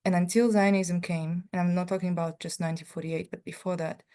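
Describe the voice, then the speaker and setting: A middle-aged woman, speaking on a panel and sharing a personal account in a halting way, full of fillers and restarts. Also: lowish voice, level tone, warm tone, clear, resonant tone.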